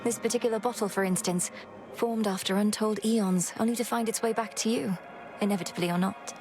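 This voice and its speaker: A woman's voice: medium pitch, steady tone, fairly quick, close to an everyday voice. A woman voicing a young witch princess of the underworld who speaks in an earnest, formal and composed way. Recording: loud game music behind.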